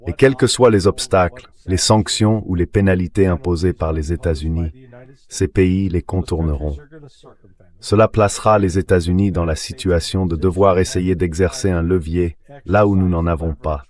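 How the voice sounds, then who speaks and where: Synthetic male dub voice, very deep, for a political pundit pressing arguments with rhetorical questions. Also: steady tone, moderate pace, darkish tone. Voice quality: synthetic AI dubbing voice. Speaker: political commentator, interview guest. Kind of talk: dubbed voice-over of political commentary.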